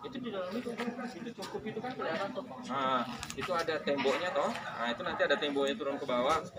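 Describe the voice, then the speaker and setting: High-voiced male tour guide, noisy echoey recording. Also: animated, quick pace, recorded from a distance. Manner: explanatory, conversational, checks in with listeners. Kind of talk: guided tour commentary to a group. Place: on site at a historical cemetery and bathing pool.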